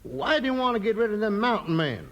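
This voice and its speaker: High, creaky western sheriff. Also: male voice, fast talker, highs cut off, full character voice. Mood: surprised. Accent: American. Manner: excitable, folksy. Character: excitable, astonished old-west sheriff. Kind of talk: 1950s radio western acting. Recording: noisy vintage 1950s radio recording.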